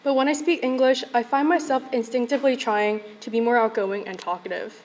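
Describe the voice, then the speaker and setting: A young woman in her late teens, a graduating student, giving a measured, reserved speech at a podium microphone. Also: fairly high pitch, steady tone. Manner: soft-spoken, reserved, measured. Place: school event hall.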